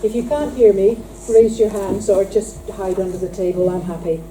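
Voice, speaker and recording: Dark-voiced woman addressing a conference hall. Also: mid-range voice, moderate pace. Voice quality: loud, projecting voice. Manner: earnest, emphatic, with dry asides. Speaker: conference speaker opening the event. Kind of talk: formal welcome speech with light humour. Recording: distant mic in a hall with faint hum.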